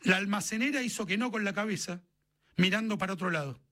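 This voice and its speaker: An Argentine man narrates a literary short story. His voice sits high for a man and has a bright, forward edge. The delivery is scripted storytelling, read as prose. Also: fast talker.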